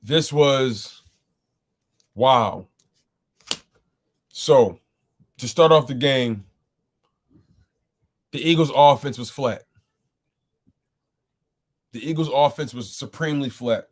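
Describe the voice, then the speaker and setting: A man's voice: medium pitch, slow pace, long pauses. A man hosting a casual live sports call-in stream, his voice a little scratchy as he keeps greeting viewers and repeating himself. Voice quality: slightly scratchy voice. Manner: repetitive, exclaiming, greets chat viewers. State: throat a bit rough. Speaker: host of a sports call-in show.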